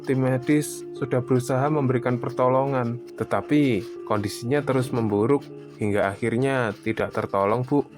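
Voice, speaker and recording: Man as male doctor, calm, formal, sympathetic, medium pitch, music underneath. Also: lightly acted. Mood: sympathetic, solemn. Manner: formal, measured. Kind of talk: animated horror drama dubbing.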